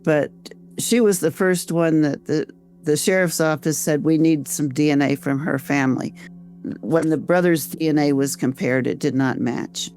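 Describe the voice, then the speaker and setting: An older woman with an American accent, telling her own story conversationally as an interview guest, reflective and halting, repeating phrases as she goes. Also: sits low, steady tone, darkish tone, soft background music. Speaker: interview guest, author and volunteer researcher.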